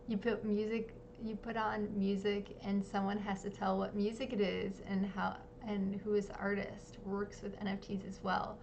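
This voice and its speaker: A woman hosting a live-streamed artist interview, talking casually with lots of ums and quick apologies. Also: medium pitch, gravelly, a little mellow, lo-fi sound, slight background noise. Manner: chatty, many ums, apologetic. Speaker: livestream interview host. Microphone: home streaming setup.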